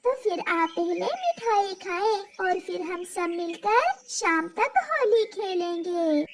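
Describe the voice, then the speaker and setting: A woman voicing a small, gentle peacemaking bird in a children's cartoon. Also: very high-pitched, lively intonation, takes it slowly, exaggerated voice. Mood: welcoming.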